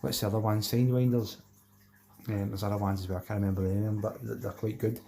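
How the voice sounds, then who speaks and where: Glaswegian male streamer: low-pitched, mellow and creaky, matey, rambling chat with viewers. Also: flat delivery, fast. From Glasgow, Scotland. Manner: matey, rambling, broken phrasing, colloquial Scots wording. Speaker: fishing channel live-stream host. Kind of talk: casual live chat, reading and answering viewer messages.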